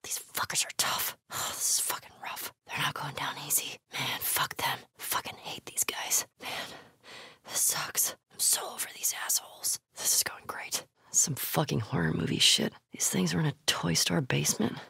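Tough young american survivor girl, game character, terse n sardonic, bright edgy voice w a rasp. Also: low-pitched, normal speed, no echo, whispered, exaggerated voice. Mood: fed up, hushed. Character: tough, foul-mouthed young woman survivor, wry and guarded. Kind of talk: video game voice lines.

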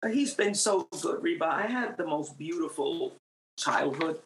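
A woman's voice: low-pitched, a little slow, slight background noise. A middle-aged woman from New York with Puerto Rican roots, a singer giving her faith testimony as a guest, earnest and growing emphatic. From Puerto Rican, raised in New York. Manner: earnest, grows emphatic and animated. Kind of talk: faith testimony in a podcast interview. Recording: roomy, echoey sound.

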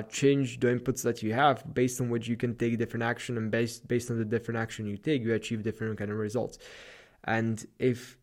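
A man with A Slavic accent hosts a solo podcast, talking in a loose, rambling and upbeat way about getting better at life. His voice has a gravelly, creaky edge at a middling pitch. He records alone in his apartment late at night. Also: darkish tone. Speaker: podcast host. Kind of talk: solo motivational podcast monologue.

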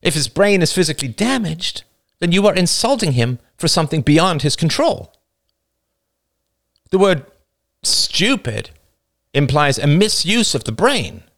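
A man acts out a male debater with a condescending, didactic streak. His delivery is emphatic and insistent, swinging up and down in pitch as he lectures, like a character in an audiobook. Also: very animated, measured pace, long pauses, a bit bright, heavily acted. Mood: lecturing, insistent.

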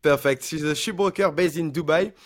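A man's voice: a little high, a little fast. A man, hosting and interviewing a guest on a podcast. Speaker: podcast host.

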